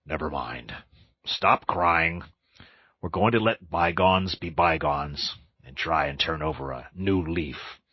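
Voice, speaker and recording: A man's voice: low-pitched, lively intonation, fairly bright tone, husky, no echo, studio quality, full character voice. A man doing a stern old badger. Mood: kindly. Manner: slow, grave and severe.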